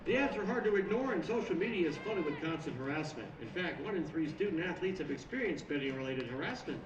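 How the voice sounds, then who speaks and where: A high-voiced man delivers a scripted public service spot with lively intonation. The sound is roomy and dull, with a clear crowd behind him. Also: quick pace. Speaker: public service announcement reader. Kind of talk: scripted ad read. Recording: dull, echoey, distant, with crowd noise.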